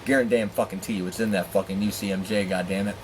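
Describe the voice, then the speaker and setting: A man telling a personal story in a candid, profane, animated way, acting out dialogue with exasperated asides. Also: lowish voice, quick pace, background noise. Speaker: storyteller and host.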